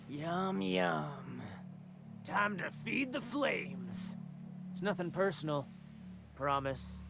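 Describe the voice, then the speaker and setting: An actor playing a menacing, gleeful, fire-obsessed outlaw leader in a video game, with a gravelly, drawling, taunting delivery. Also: male voice, a little high, expressive, very slow, pauses often, over music, full character voice. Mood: gloating menace. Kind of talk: video game villain acting.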